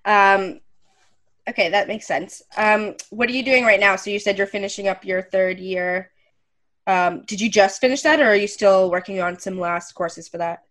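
A woman's voice: mid-range voice, moderate pace, a bit bright. A woman hosting a podcast interview over a headset from home, friendly and hesitant with many ums. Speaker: podcast host. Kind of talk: casual interview host. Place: room at home.

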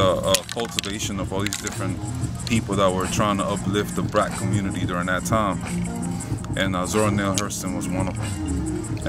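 A man in his thirties from Honduras, raised in Miami, talking casually and reflectively about himself in a halting way. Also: sits low. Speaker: mural artist. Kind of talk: casual interview to camera. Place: outdoors by a painted court wall. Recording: music bed behind the voice.

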